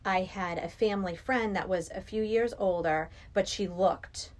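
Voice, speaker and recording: A woman's voice: medium pitch, measured pace, a little mellow. A middle-aged woman from Massachusetts, a podcast guest chatting casually and enthusiastically about movies. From Massachusetts, United States.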